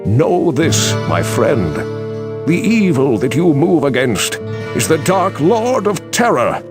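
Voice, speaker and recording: An actor playing a grave elderly sage in a fantasy game, speaking in a theatrical, portentous and urgent way. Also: male voice, a little high, very animated, slow pace, exaggerated voice. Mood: grave warning. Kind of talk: dramatic fantasy game voice acting. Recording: music under the voice. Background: loud guitar music.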